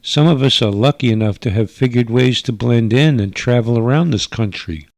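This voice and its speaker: A man's voice: mid-range voice, no echo, studio quality, close to an everyday voice. A man voicing a stern, earnest alien mother in a radio drama, speaking formally and carefully, pleading for her people. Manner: formal, careful foreigner's wording, halting for words. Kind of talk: radio drama narration with character voices.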